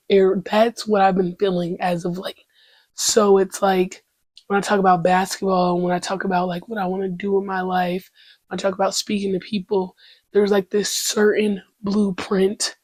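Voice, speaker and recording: A woman's voice: mid-range voice, a little mellow, slightly rough, no echo. A woman hosting her own podcast in a loose, slangy monologue, swearing freely and breaking into praise. She is congested and her voice is still coming back. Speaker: podcast host. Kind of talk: casual faith-filled podcast monologue.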